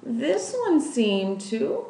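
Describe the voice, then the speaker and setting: A woman reading a storybook as a timid, gentle old country wife with a shaky voice and folksy, ungrammatical speech. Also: a little high, very animated, very slow, gravelly, pitched above the performer's own voice, exaggerated voice. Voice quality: tremulous, shaky. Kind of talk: children's storybook character voice. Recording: echoey room.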